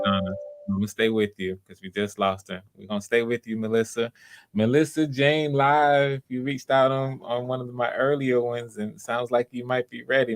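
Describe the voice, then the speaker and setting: A man hosting live auditions in a casual, encouraging and reassuring way. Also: medium pitch. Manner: supportive, reassuring. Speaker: host running live auditions.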